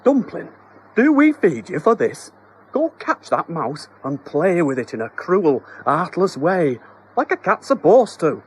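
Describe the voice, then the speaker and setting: A man's voice: fairly high pitch, big pitch swings, measured pace, darkish tone, slight background noise, pitched above the performer's own voice. Man doing a flustered husband feigning sternness. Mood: coaxing. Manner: mock-stern, pleading. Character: flustered middle-aged husband trying to be stern.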